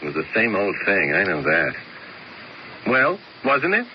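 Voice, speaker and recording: An actor playing a nervous, excitable young man who stammers and pleads, in a 1970s radio drama. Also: sits low, a little slow, heavily acted. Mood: agitated. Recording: dull old radio broadcast with faint hiss.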